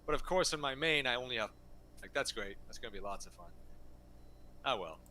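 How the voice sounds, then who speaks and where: Young guy streaming a game, english not his first language, bright voice that jumps all over. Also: mid-range voice, expressive, a little fast, slight background noise. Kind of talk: casual gaming chat.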